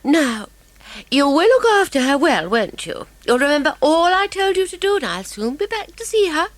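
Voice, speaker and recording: A woman's voice: high voice, very animated, moderate pace, no room sound. A woman playing a fussy, gushing wife who dotes on her poodle, effusive and fluttery, in a children's audio story. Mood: doting, fussing.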